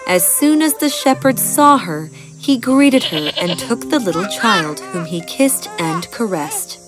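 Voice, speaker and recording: A woman's voice: medium pitch, expressive, unhurried. A woman reading a scripted fairy tale as a storyteller. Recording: music bed behind the narration.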